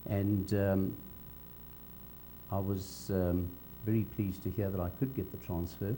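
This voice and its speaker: An older man with a South African accent recalls his working life in an interview, speaking in a measured, halting way. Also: flat delivery, mellow. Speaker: interviewee, veteran cinema cameraman. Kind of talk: on-camera reminiscence. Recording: dull low hum, faint background noise.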